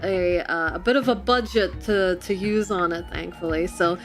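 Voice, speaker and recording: A woman's voice: on the low side, moderate pace. A woman livestreamer chatting casually and expressively with her audience in a giggly, chatty manner. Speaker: livestream host and artist. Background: background music.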